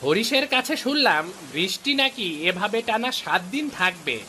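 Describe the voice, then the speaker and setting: A man's voice: high-pitched, sing-song, normal speed, sharp tone, slight background noise, heavily acted. A man playing a chatty, mildly chiding village neighbour in a cartoon, with rain behind him. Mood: curious, gossipy.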